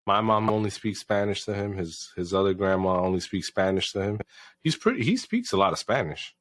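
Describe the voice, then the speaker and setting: A young father co-hosting a podcast in casual chat, swinging from low muttering to sudden shouts and swearing a lot. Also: animated, brisk, no room sound. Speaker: podcast co-host.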